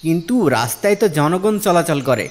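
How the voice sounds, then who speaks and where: A man's voice: a little high, big pitch swings, fairly quick. A man playing an earnest, reasonable villager in a moral cartoon, speaking politely and persuasively. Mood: earnest, pleading. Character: earnest, reasonable male villager pleading for neighbours. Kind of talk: Islamic moral cartoon dialogue.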